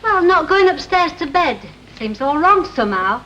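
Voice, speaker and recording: A woman's voice: high-pitched, big pitch swings, moderate pace, pushed and bright, highs cut off, heavily acted. An actress playing a mild, down-to-earth woman shopkeeper, polite and a little hesitant, with a northern English accent in 1960s television soap style. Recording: old noisy 1960s television soundtrack.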